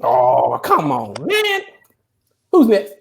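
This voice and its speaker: A woman's voice: medium pitch, wildly swooping pitch, drawn-out words, creaky, exaggerated voice. A woman playing an opinionated, boastful old male barber in a comedy table read, folksy and argumentative.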